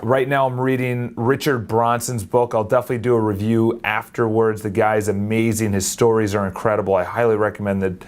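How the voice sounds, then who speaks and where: A young man in his late twenties talks straight into his phone camera in a loose, unscripted vlog. He is energetic and rambling, and he often stops and restarts his sentences. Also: fairly low pitch, a little fast, few pauses. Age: 20s. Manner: energetic, rambling, self-correcting. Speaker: vlogger. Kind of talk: casual talk to camera.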